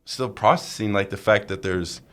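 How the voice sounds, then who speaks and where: A young American man in his twenties chatting casually, in a halting, rambling way that often doubles back to correct itself. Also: fairly low pitch, fairly bright tone. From rural Iowa, United States. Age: 20s. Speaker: podcast co-host. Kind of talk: casual chat.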